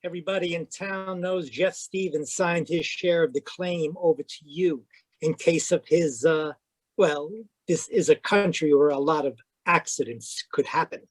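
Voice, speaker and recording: A man's voice: a little high, a little slow, no room sound. A man playing a pushy, slick, scheming villain in an old-time radio drama. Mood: wheedling, impatient. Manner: slick, menacing, calls woman baby. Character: scheming, pushy male villain in frontier melodrama.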